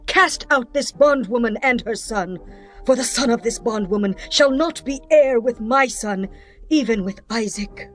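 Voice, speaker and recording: A woman performs an old matriarch from ancient times, proud and strong-willed. Her voice sits fairly high and declaims in scripture-drama style. Music runs beneath it. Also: measured pace, natural voice. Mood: stern, demanding. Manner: declamatory, archaic phrasing. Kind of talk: dramatized scripture audiobook acting.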